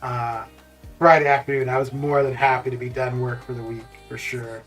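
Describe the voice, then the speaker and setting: A live streamer of middling pitch, chatting casually and often amused at their own remarks. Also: flat delivery, moderate pace, fairly bright tone. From Canada, born in the Caribbean. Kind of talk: casual chat while streaming. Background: music with guitar.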